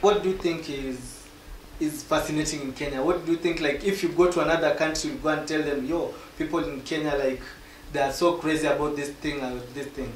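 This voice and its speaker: Halting male interviewer in a roomy space. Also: fairly high pitch, steady tone. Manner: halting, repeats words as he starts questions. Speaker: interviewer, journalist. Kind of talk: interview questions. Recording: roomy with faint background noise.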